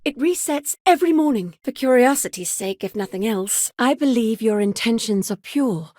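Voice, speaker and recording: A wonderstruck, courteous female scientist from a science fiction game. Her voice sits on the high side and her phrasing is formal and old-fashioned, earnest throughout. The sound is very dry, with no room around it. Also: expressive, normal speed, a little mellow, no echo. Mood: awed, earnest. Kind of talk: scripted video game dialogue.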